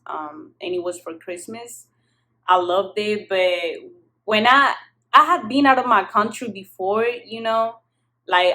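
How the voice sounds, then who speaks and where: A woman's voice: fairly low pitch, a bit bright, low-quality recording. A young woman from southern Spain, a native Spanish speaker, telling a personal story in frank, slangy, casual English with some swearing, recorded in an apartment. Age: young adult. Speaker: vlogger. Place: apartment kitchen-living room.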